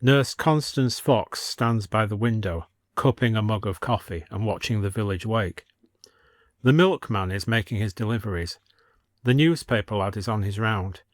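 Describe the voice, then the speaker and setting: A man's voice: on the low side, moderate pace, dry recording. Male storyteller with very dry sound. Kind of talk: scripted story narration.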